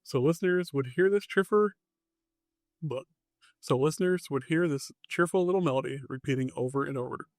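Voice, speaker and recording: A man's voice: a little high, brisk, darkish tone, no room sound. Man narrating suspensefully, very dry close sound. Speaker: podcast host. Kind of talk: scripted podcast narration.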